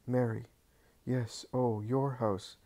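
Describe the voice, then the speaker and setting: A man's voice: medium pitch, fairly even intonation, takes it slowly, dark tone, voice pushed up. A man voicing a frail, feverish dying male servant, weak and grateful.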